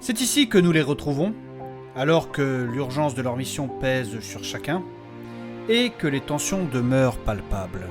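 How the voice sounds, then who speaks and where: Lively male storyteller over music. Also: mid-range voice, a little slow. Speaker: narrator. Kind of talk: dramatic scripted story narration. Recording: music under the voice.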